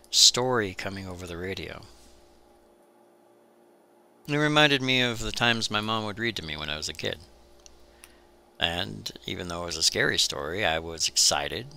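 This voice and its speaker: A man hosting a maker video, telling his story as scripted voice-over in deliberate phrases. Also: deep voice, pushed and bright, slight background noise.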